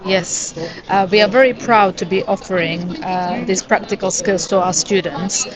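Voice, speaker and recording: A woman representing a university answers interview questions steadily, pausing with frequent "uh"s. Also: medium pitch, steady tone, poor audio. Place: busy education expo hall. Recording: crowd noise behind. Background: crowd chatter.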